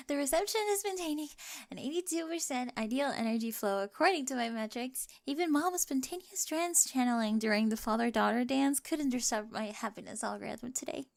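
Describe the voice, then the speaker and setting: A woman playing a quirky, data-obsessed young bride in a scripted ASMR roleplay, speaking technical phrases with earnest, adoring precision. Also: high-pitched. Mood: giddy, proud. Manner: precise, earnest recital of technical wording with giggly excitement.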